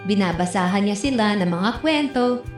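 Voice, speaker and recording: A woman narrating a scripted first-person story in an expressive, dramatic storytelling manner. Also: mid-range voice, normal speed. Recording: roomy echo with music behind. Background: music.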